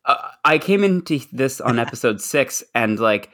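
A man's voice: medium pitch, animated, normal speed, bright, forceful tone. A man co-hosting a podcast, talking casually in a laid-back, tentative way. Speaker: podcast co-host. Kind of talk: casual discussion.

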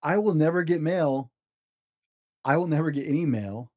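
A man's voice: fairly high pitch, moderate pace, a little mellow, no room sound, studio quality, natural voice. A man voicing a kindly, dutiful dog mailman in a storybook, speaking earnestly and plainly. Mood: sad.

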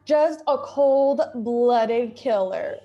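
A domineering, ridiculing wife, played by a woman in a radio drama. She speaks very high and takes her time, drawing out each theatrical jab with heavy sarcasm. Faint music sits underneath. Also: extremely slow, lo-fi sound, exaggerated voice. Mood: mocking. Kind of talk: radio play acting.

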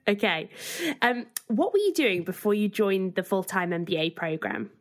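A woman's voice: mid-range voice, animated, a little slow. A woman podcast host putting friendly, prepared interview questions to a guest.

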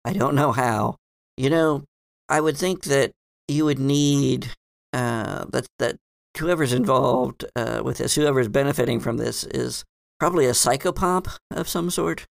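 Tabletop RPG character: bookish, proper female librarian played by a man, musing and hesitant, mid-pitched with gravelly fry, close dry sound. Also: no echo. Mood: pondering. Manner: halting, formal, professorial phrasing.

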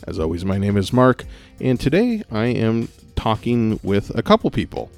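A man hosting a board game podcast, introducing the episode and interviewing a guest in a measured, conversational way. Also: lowish voice, sing-song, creaky, faint music behind. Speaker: podcast host.

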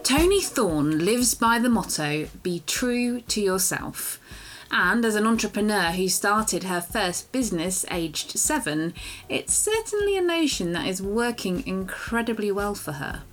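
Host voice: woman at middle pitch, brisk and formal, reading a scripted intro. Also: sing-song, soft background music, poor audio. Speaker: podcast host.

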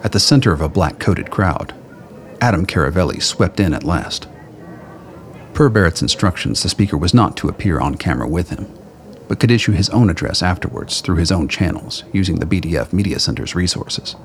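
Deep-voiced male narrator of audio drama. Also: animated, fairly quick, slight background noise.

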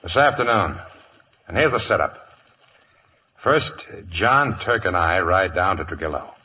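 Low, husky, hard-edged outlaw boss. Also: male voice, animated, unhurried, bright, forceful tone, full character voice. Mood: businesslike. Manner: curt, commanding. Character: gruff, suspicious male outlaw gang boss. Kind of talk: 1950s Western radio drama acting. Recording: vintage 1950s radio broadcast.

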